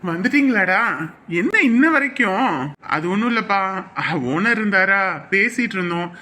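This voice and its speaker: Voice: medium pitch, moderate pace, pushed and bright, lightly acted. A performer playing a caring elderly father-in-law who fusses over family safety in a family drama. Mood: fond. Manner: fussy, repeats safety advice.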